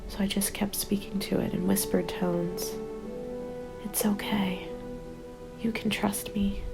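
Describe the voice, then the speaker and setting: A woman playing a weary lone survivor of a zombie apocalypse in a scripted ASMR roleplay, narrating in a hushed, breathy voice that often falls to a whisper. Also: mid-range voice, level tone, slow pace, music in the background. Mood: soothing, tense.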